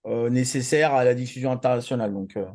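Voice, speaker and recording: A man's voice: mid-range voice, fast, darkish tone. A man, co-hosting a casual panel chat and explaining things in an informal way. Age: 40s. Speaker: talk show co-host presenting anime news.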